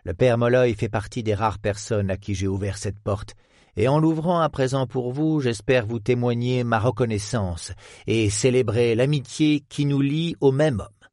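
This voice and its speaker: A man's voice: mid-range voice, moderate pace, a little mellow, no room sound, clean studio sound, lightly acted. A man voicing a theatrical, effusive elderly male historian who speaks with old-fashioned courtesy. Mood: conspiratorial.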